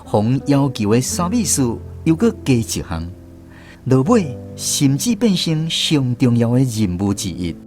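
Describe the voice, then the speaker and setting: A man's voice: medium pitch, very animated, unhurried, darkish tone. An actor with a Taiwanese accent narrating a radio drama as a man recalling his army days, in a storytelling manner. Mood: reflective storytelling. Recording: music behind the voice.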